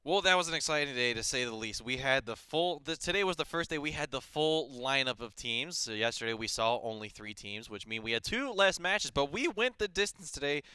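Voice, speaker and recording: A young man co-casting esports, joking in fast, friendly banter. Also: medium pitch, lively intonation, bright, forceful tone, smooth, dry recording. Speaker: esports co-caster. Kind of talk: live esports commentary.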